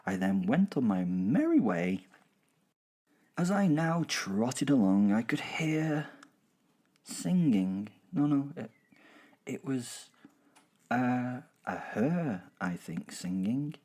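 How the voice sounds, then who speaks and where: A man playing a gleeful, boastful werewolf in a horror comedy audio drama, telling of the hunt in a chatty, digressive way with husky drops toward a whisper. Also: mid-range voice, sing-song, slow, pauses often, warm tone. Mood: menacing, relishing, then puzzled, rambling. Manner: chatty, digressive, self-interrupting, darkly jokey. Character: gleeful, boastful male werewolf killer recounting his hunt.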